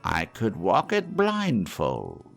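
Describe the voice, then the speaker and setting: A man voicing old Scrooge, an elderly man crying out in wonder, with raised, excited exclamations. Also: on the low side, slow pace, gravelly, pitched above the performer's own voice, full character voice. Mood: awed. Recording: faint music behind.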